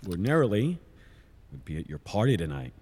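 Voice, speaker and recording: An actor playing a middle-aged scientist father in an audio drama, casual, wry and gently persistent. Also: low-pitched, very animated, brisk, big-room sound, a little hiss or hum. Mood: concerned. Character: warm, eager father and scientist, a concerned friend. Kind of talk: naturalistic audio drama acting.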